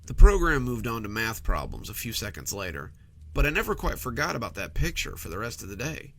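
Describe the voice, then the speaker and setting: Man narrating horror, lively and gravelly. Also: medium pitch, a little fast, a little hiss or hum. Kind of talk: scripted first-person horror narration.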